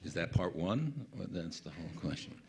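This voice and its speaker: A man on a conference panel, giving measured, thoughtful answers. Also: sits low, animated, a little mellow, slight background noise.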